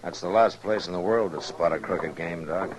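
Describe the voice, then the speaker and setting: A man's voice: low voice, normal speed, a crowd in the background, natural voice, rough recording. A man playing a watchful, lonely US marshal in a radio western, with an American accent and a terse, world-weary delivery. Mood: dry, probing. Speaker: lead actor and narrator. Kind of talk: old-time radio western acting and narration. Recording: old radio recording with music.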